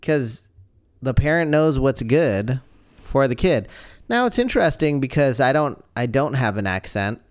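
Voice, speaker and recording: Smooth-voiced reflective man, dry sound. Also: mid-range voice, animated, unhurried, no room sound. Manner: reflective, advice-giving. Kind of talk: casual conversation. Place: home.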